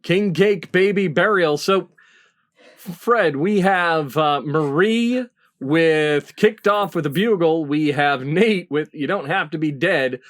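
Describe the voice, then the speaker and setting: A man's voice: fairly high pitch, slow. A middle-aged man hosting a comedy panel quiz over a video call. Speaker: quiz show host.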